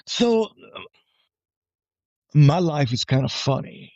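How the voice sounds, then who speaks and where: Halting man in a dull, dry recording. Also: fairly high pitch, animated, a little mellow, dry recording. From Puerto Rico. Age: 40s. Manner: halting, repeats words, often checks with "right?". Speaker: podcast guest, army veteran. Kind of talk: casual personal conversation.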